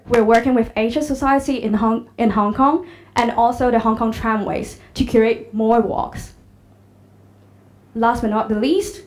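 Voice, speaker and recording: A young woman speaks through a handheld microphone on a large conference stage, her voice sitting a little high. She gives an upbeat, engaging pitch and puts questions to the audience, with faint noise behind her. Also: low-quality recording. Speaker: startup presenter.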